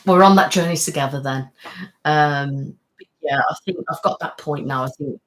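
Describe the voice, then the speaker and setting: A woman's voice: deep voice, moderate pace. A woman, chatting casually on a live stream and answering viewers' comments in a rambling, friendly way. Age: 30s. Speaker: ASMR channel host.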